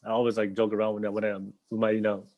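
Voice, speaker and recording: A man's voice: on the low side, monotone, very fast, dull audio. A young man who grew up in Tonga, hosting a podcast interview in a loose, rambling way with lots of fillers and easy laughter. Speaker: podcast host. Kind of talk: casual interview chat.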